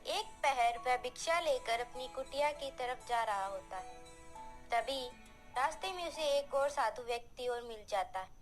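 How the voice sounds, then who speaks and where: A woman's voice: high-pitched, expressive, unhurried, soft background music. A woman reading scripted narration for a village folk tale as the storyteller.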